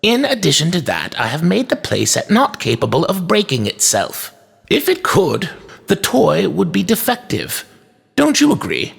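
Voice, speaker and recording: A man's voice: high voice, huge pitch swings, moderate pace, gravelly, breathy edge, pitched above the performer's own voice, heavily acted. A man voicing an elderly toy maker in a storybook, stern and formally polite yet kindly at heart. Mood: respectful, annoyed. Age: older.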